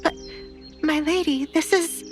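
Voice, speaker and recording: A timid servant maid, voiced by a woman narrator, speaks meekly and falteringly in an audio drama. She sounds frightened and high in pitch, over background music. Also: steady tone, measured pace. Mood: fearful. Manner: meek, faltering.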